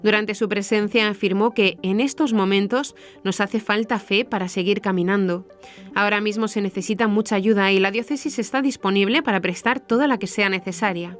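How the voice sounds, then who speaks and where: A woman from narrates the news from a script. Her pitch is average for a woman, and there is faint music behind her. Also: brisk. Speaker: news presenter. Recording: music under the voice.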